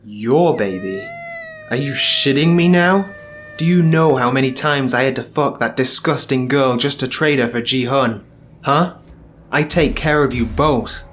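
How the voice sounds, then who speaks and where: A man voicing a menacing, controlling husband who talks in a low, cool tone. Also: measured pace, a little hiss or hum, lightly acted. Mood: furious. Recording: faint music underneath.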